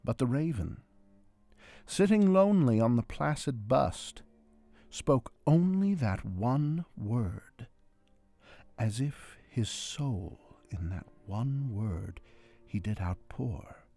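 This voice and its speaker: Slow, creaky-voiced man reciting gothic verse. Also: mid-range voice, expressive. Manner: theatrical, swinging from hushed whispers to loud outbursts. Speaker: amateur reader of poetry. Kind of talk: dramatic recitation of a gothic narrative poem. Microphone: close handheld portable recorder. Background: dramatic string quartet score.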